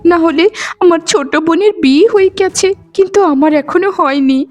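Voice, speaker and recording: A woman's voice: extremely high pitch, normal speed. A woman voicing a meek, rejected young village daughter in a melodramatic cartoon, speaking in a plaintive, pleading way. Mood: pleading, sorrowful.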